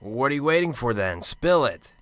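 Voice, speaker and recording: A man's voice: medium pitch, very animated, moderate pace, fairly bright tone, no room sound. A man playing a cynical, sarcastic male detective, dry and impatient, in a student radio drama.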